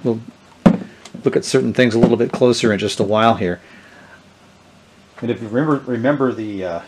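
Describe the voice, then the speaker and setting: A middle-aged man gives a casual, unscripted product demonstration, haltingly thinking aloud as he goes. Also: mid-range voice, background noise. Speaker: presenter of a vintage electronics channel. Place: indoors, small tabletop product-shooting setup.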